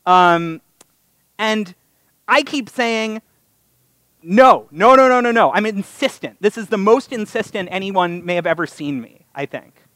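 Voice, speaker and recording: A man's voice: high voice, measured pace, bright, forceful tone, no echo. A man with a North American accent gives a conversational, story-driven sermon as a rabbi, with wry, self-deprecating humour. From North America (US and Canada). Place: live synagogue service.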